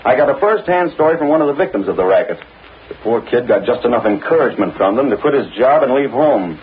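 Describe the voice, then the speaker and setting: Actor as excitable, slangy reporter, lively pitch. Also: male voice, quick pace, natural voice. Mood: eager. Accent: American. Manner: slangy, insistent, wisecracking. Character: pushy, excitable male newspaper reporter. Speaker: actor in radio drama. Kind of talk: vintage radio drama acting. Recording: narrow-band, noisy old radio recording.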